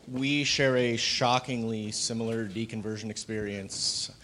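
A man's voice: on the low side, fairly even intonation, unhurried, bright, forceful tone, low-quality recording. A man from the audience asking a question at a live event, speaking hesitantly with filler words.

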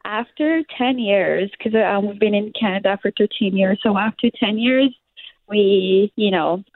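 A woman's voice: medium pitch, measured pace, no echo. Young Liberian woman, hesitant interviewee, tinny lo-fi line. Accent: Liberian English. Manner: hesitant, many fillers, laughs often. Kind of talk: interview answers. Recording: dry, phone-like sound.

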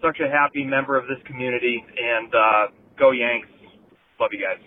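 A man's voice: medium pitch, steady tone, normal speed, narrow, tinny audio. A man leaving a casual voicemail message as a caller to a show, heard over a phone.

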